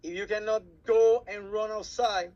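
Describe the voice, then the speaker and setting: A man with a Puerto Rican Spanish accent, Spanish his first language, talks cadets through an exam in English. His voice sits high for a man, and he halts and repeats words as he searches for them. The sound is muffled and lo-fi. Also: fairly even intonation, a little mellow. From Puerto Rico. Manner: halting, many restarts and repeated words. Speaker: cadet program instructor. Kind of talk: workshop briefing.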